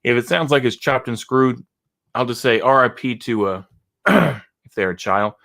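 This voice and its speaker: A man's voice: medium pitch, a little slow, gravelly, a bit bright. A man hosting a reaction video, talking in a rambling, mocking way with many restarts and a Texan accent. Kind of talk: casual commentary reacting to a video.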